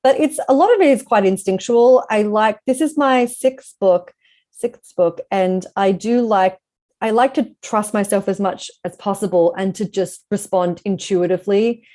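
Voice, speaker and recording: A woman's voice: mid-range voice, moderate pace, warm tone. A woman speaking as a guest author in a casual interview, explaining things in a reflective way.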